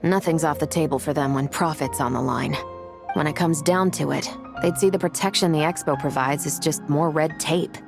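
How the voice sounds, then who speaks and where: A sharp, confident female investigator in an anime game. She sounds low and assured, pointedly skeptical, over faint music. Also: moderate pace, creaky. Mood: matter-of-fact.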